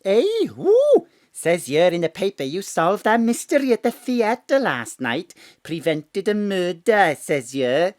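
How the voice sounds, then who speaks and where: A woman doing a proud mam with a big, swooping singsong voice, drawing out her words slowly. She teases and gossips, heard dry and a touch off the mic. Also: medium pitch, sing-song, a little mellow, dry recording. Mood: teasing. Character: chatty Welsh mam, older, proud and teasing.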